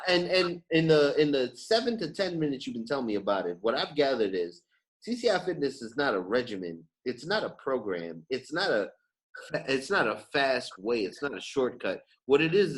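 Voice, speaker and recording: A man hosting a podcast in casual chat, informal and animated, repeating phrases for emphasis. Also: medium pitch, brisk, poor audio. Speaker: podcast host.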